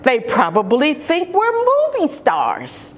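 Storytime reader: middle-aged woman, highish swooping voice, expressive emphasis for small children, some room noise. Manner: expressive, deliberate, with drawn-out emphasis on key words. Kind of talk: children's picture-book read-aloud. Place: large carpeted indoor lobby or lounge.

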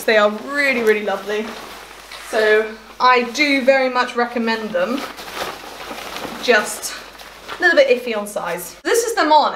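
A young woman with a fairly high voice talks brightly and chattily as she tries on outfits. She is recorded on a camera across a bare hallway, so the sound bounces around the room, with a faint hiss underneath. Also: roomy sound, low-quality recording. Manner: chatty and enthusiastic, trailing off mid-thought. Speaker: fashion vlogger. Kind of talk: casual try-on haul chat. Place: hallway at home, indoors.

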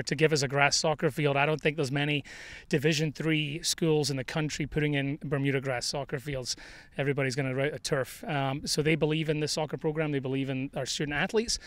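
A man's voice: a little high, fairly bright tone, no echo. A middle-aged man, a head soccer coach, giving a candid, conversational postgame interview outdoors on a field into a handheld microphone, with plenty of fillers. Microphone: handheld mic held by interviewer.

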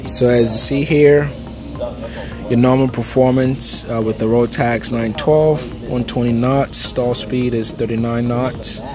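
A man's voice: mid-range voice, a little slow. Chatty man touring a plane. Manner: relaxed, chatty, lots of "okay" and "uh". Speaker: aviation vlogger. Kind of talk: casual walkaround tour. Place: large aircraft hangar at an expo, indoors. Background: music and crowd chatter.

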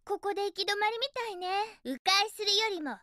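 A woman voicing a feisty, bossy but caring young girl hedgehog in a video game, with energetic, girlish delivery. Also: extremely high pitch, normal speed, no room sound. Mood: thoughtful, chatty. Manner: energetic, girlish, emphatic feminine phrasing. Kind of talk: Japanese video game character voice acting.

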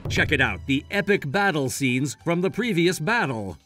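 An earnest young gangster from an anime cartoon. The voice sits a little high and moves freely up and down. He sounds loyal and keen as he addresses viewers, over light music. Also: animated, normal speed, fairly bright tone. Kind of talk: anime voice acting, presenting to viewers.

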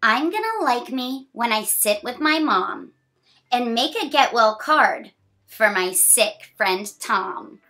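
Bright-voiced woman reading to children, unhurried. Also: fairly high pitch. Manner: expressive, encouraging, sing-song reading; giggly, smiling delivery. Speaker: children's read-aloud host. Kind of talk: reading a picture book aloud to children, with chatty asides. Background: music.

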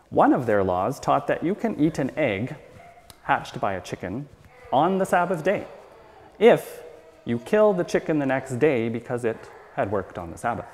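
A man's voice: mid-range voice, darkish tone, slight background noise. Man reading a sermon in a reverberant hall. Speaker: church elder reading a sermon. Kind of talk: sermon read from a script. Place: church hall, at a wooden lectern. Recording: long church hall reverb.

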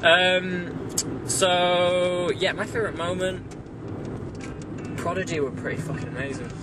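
A young man rambles and jokes crudely, chatting from inside a moving car. His voice sits a little off the handheld camera mic. Steady road rumble runs under everything. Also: unhurried. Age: young adult. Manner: rambling, crude jokey banter. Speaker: vlog co-host. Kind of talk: casual chat. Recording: distant mic, road noise.

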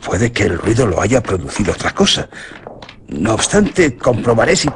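Horrified doctor, wildly swooping voice. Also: male voice, fairly low pitch, sing-song, background noise. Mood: uneasy, hesitant. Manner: halting, stammering, dramatic. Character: shaken male doctor discovering a dead patient, horrified. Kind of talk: Spanish radio horror drama acting.

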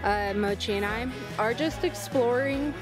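A woman's voice: fairly high pitch, measured pace, reverberant, music in the background. A woman, talking candidly and wryly in a casual personal update vlog. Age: 20s. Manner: candid, wry, self-deprecating. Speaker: vlogger.

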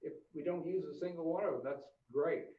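An older male doctor talks in a relaxed, optimistic way, with a slightly high voice and plenty of uhs. He sounds far from the mic in an echoey room, and the audio is thin and phone-like. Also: a little fast. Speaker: family doctor. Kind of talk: casual video interview.

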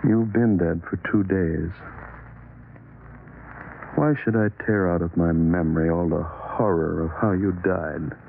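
An actor playing a despairing, guilt-ridden man in a slow, melodramatic old-time radio horror monologue. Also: sits low, fairly even intonation, vocal fry. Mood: haunted, brooding. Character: despairing, guilt-ridden man trapped by monstrous giant worms. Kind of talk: 1940s radio horror acting. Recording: noisy, muffled old-time radio recording.

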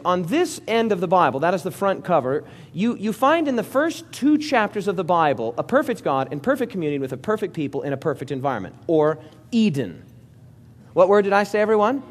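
A man preaching a sermon as pastor, talking with the congregation, asking questions and joking with them. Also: high voice. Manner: lively, interactive preaching with audience questions and jokes. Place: church hall before a congregation.

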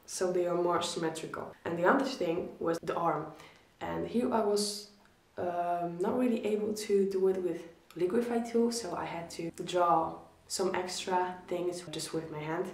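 Young Polish woman, art-channel host, chatty and encouraging, lowish voice, echoey room mic. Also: steady tone, measured pace, darkish tone. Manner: chatty, encouraging, repeats "really". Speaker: art YouTuber reviewing viewer drawings. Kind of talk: casual instructional talk to camera. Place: small indoor room against a plain wall.

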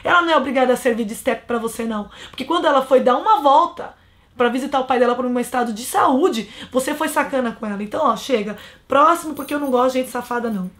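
A woman's voice: high-pitched, quick pace, fairly bright tone. A woman in her thirties from Brazil, giving blunt, scolding relationship advice straight to camera from a small bedroom. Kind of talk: casual advice monologue to camera.

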